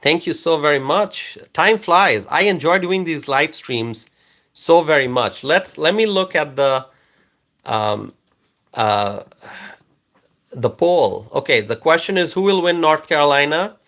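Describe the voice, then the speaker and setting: A man from Bangladesh, a native Bengali speaker, hosts a live stream and reads out viewer comments one by one. His voice sits a little high, and he talks slowly, repeating phrases as he coaxes viewers to respond. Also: animated, a bit bright. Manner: slow, repetitive, coaxing viewers.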